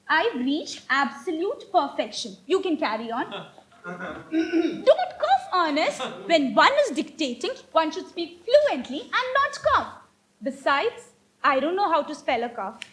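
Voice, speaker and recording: Woman playing a very high, girlish young lady. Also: very animated, measured pace, a bit bright, exaggerated voice. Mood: coy, delighted. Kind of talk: amateur stage comedy acting. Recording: stage recording with faint noise.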